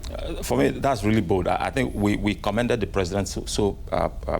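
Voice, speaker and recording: A man's voice: fairly low pitch, vocal fry, a little hiss or hum. A man giving explanatory answers as an interview guest.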